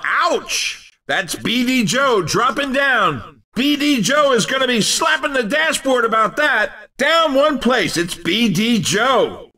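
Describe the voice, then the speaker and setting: High-pitched, excitable race commentator. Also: male voice, distant mic, full character voice. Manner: exclamatory, punchy, theatrical delivery. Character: excitable, cheeky male racing commentator, playful and punchy. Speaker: racing game commentator.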